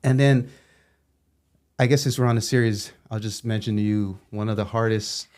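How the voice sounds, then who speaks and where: A man with a somewhat low voice hosts a casual podcast conversation. He laughs easily and rambles as he builds up his questions. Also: steady tone, moderate pace, clear, resonant tone. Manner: warm, laughs often, long rambling questions. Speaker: podcast host. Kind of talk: casual interview chat.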